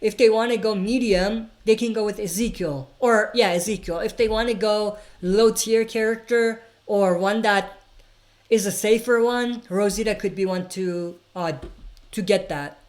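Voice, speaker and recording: A woman's voice: mid-range voice, fairly even intonation, a little slow, a little mellow. A woman hosting a casual livestream, reading viewer comments aloud and answering them in an opinionated, rambling way with plenty of fillers. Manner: frequent fillers and restarts, opinionated. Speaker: livestream host answering chat questions. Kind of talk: casual live chat.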